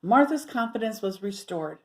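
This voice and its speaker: Seeking a woman children's storyteller, medium-pitched and highly animated, who reads expressively and questions her young listeners. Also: normal speed. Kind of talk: animated read-aloud storytelling with asides to children.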